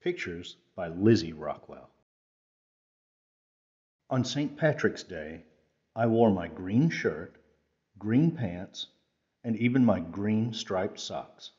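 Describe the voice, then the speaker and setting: A man reading a children's picture book aloud with measured, careful phrasing. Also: lowish voice, lively intonation, a little mellow, highs cut off. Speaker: storytime reader.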